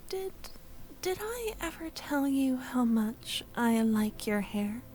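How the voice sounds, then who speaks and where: Shy, smitten childhood friend played by a woman: young, high, breathy, slow-paced, excitable but tender, intimate ASMR roleplay delivery. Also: full character voice. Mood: bashful, tender. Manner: soft, breathy, often dropping to a whisper. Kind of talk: soft-spoken ASMR audio roleplay.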